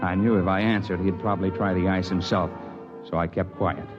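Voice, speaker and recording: A rugged, level-headed mountaineer acted by a man with a low voice tells his story in old-time radio drama style. He is plainspoken and a little wry, with prominent music behind him. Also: fairly even intonation, a little fast, highs cut off, natural voice. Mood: measured, tense.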